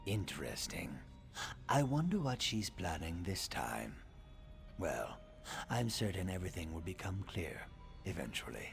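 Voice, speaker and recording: An actor voicing a meticulous, scholarly assassin in a video game, musing precisely with dry condescension. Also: male voice, low voice, vocal fry, exaggerated voice. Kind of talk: video game character acting.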